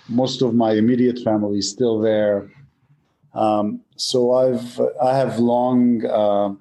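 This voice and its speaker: Mellow-voiced male executive from Israel. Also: on the low side. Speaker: data center company executive. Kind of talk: interview answers.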